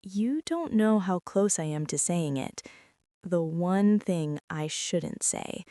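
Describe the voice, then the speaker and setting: A woman performing a soft ASMR roleplay as an insecure woman CEO confessing her feelings to an employee, talking in a hushed, halting way that slips into whispers. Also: fairly low pitch, animated, no room sound, clean studio sound. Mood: confessional.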